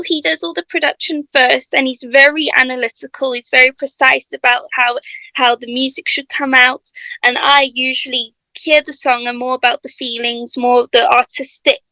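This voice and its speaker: Woman, singer guest; high, bright voice with a gravelly fry, chatty and restarting, dry sound. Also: no room sound, rough recording. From South Africa. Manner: chatty, laughs often, trailing restarts. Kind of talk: casual radio interview chat.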